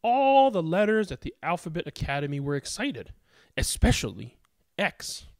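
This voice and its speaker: A storybook reader telling a children's picture book in an expressive, deliberate way with emphatic word stress. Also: mid-range voice, no echo, clean studio sound.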